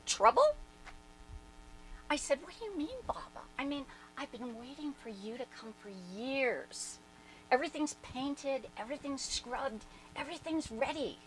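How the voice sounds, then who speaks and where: A woman performing a dramatic reading as an awestruck devotee who fondly recalls her spiritual master, theatrical and emphatic, with deliberate pauses. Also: sits high, lively intonation, a bit bright. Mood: indignant, eager. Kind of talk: dramatic reading of a first-person reminiscence. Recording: noisy live recording.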